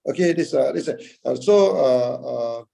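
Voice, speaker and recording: Gravelly, husky man giving a halting dharma talk. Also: mid-range voice, expressive, mellow. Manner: halting, frequent "okay" and "uh", rhetorical questions answered himself. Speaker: Buddhist teacher. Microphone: video call.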